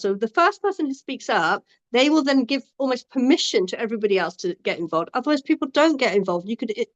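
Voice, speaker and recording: A woman's voice: on the high side, muffled recording. A woman from Bangladesh who supports abuse survivors, talking earnestly and emphatically, often restarting her phrases. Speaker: domestic abuse support worker. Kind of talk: passionate discussion.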